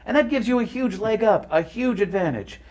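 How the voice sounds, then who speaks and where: Animated middle-aged man telling stories. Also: sits high, brisk. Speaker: voice actor guest. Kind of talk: casual conversational storytelling.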